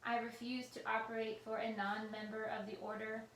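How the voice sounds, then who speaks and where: A woman's voice: on the high side, completely flat delivery, moderate pace, fairly bright tone, slight background noise, lightly acted. A woman voicing a stubborn, brave talking computer that answers in a firm, clipped way. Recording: roomy echo with faint ambience.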